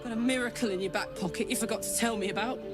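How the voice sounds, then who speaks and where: A woman's voice: on the high side, very fast, loud music behind the voice, lightly acted. A woman playing a fierce, betrayed warrior woman in a video game, blunt, sardonic and emotionally raw. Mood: bleak, despairing. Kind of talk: dramatic video game acting.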